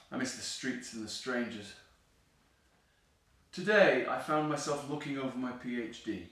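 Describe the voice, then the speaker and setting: An actor playing a lonely, disappointed council member in an audio play, confiding and longing for city life. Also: male voice, medium pitch, a bit bright, natural voice. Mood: resigned, bitter. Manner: wistful, discontented, confiding. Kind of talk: audio play acting, reflective dialogue. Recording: very echoey room, slightly distant mic.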